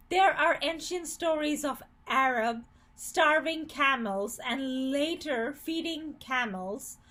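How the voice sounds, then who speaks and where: A woman's voice: sits high, a bit bright. A woman from Bangladesh giving an earnest, critical opinion commentary, with occasional stumbles and restarts.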